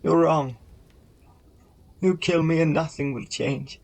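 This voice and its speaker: An actor playing an earnest, big-hearted reformed old miser in a radio play, halting and emphatic. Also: male voice, a little high, fairly even intonation, gravelly, darkish tone, heavily acted. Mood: defiant.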